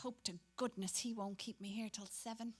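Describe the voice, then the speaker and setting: A woman's voice: a little high, fairly even intonation, normal speed, husky. A woman playing a bored, gossipy young typist who turns dutiful on the telephone, in a dramatic stage reading. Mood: catty, wistful.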